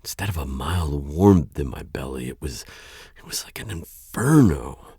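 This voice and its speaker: A man playing a conflicted vampire lord in an ASMR roleplay, aloof yet courteous and softening, with a hesitant, stammering, musing delivery. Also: animated, dry recording, clean studio sound, heavily acted. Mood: musing, unsettled. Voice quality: very deep, gravelly, husky voice. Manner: hesitant, stammering, musing with sighs and trailing pauses. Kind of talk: ASMR roleplay audio drama acting.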